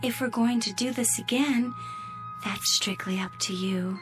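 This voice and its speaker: Over clear music, an actress plays a coy woman on a spaceship crew. She talks slowly in a fairly high voice, languid and teasing, in radio drama style. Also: lightly acted. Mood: flirtatious, teasing. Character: flirtatious, loyal female spaceship crew member.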